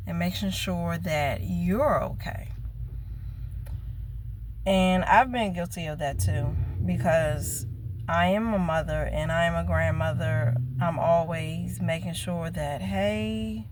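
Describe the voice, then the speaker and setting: Woman in her fifties, unhurried, somewhat low voice, faint room hum behind. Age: 50s. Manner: slow, reflective, earnest. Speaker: vlogger. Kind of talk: casual personal vlog.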